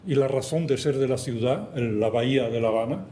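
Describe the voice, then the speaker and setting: An older man with a Catalan-tinged Cuban Spanish accent gives a slow, measured talk, pausing often for his interpreter. His voice is mellow and dark. The recording is echoey, with faint hiss from the lecture hall. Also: medium pitch. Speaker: guest speaker. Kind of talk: lecture presentation with slides.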